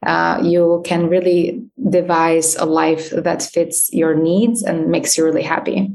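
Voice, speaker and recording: A woman's voice: lowish voice, level tone, unhurried, gravelly. A woman in her thirties, raised in Albania, speaking as a guest in a podcast interview, with frequent fillers and light laughs. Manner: warm, many fillers, laughs while talking. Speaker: guest, startup founder. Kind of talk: interview conversation.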